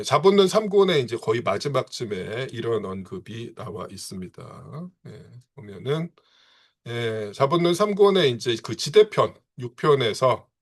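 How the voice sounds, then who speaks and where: Brief: male seminar lecturer, mid-pitched, halting delivery sprinkled with fillers. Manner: punctuates phrases with frequent filler affirmations.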